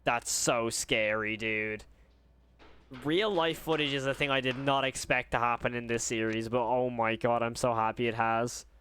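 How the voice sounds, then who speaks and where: A man's voice: mid-range voice, fairly even intonation, normal speed, pushed and bright, clean tone. A man giving casual reaction commentary, informal and exclamatory, often repeating himself. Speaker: host of horror reaction channel.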